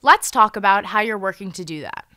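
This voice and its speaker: A woman's voice: mid-range voice, lively intonation, brisk, sharp tone, dry recording. A teenage girl reporting in a news studio, reading scripted interview questions.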